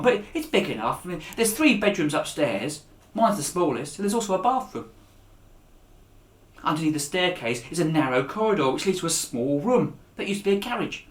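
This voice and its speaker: Echoey-room male storyteller, dramatic and expressive. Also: a little high. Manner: dramatic, with raised voices for dialogue and hushed endings. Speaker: author reading his own children's book. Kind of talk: expressive storytelling read aloud to children.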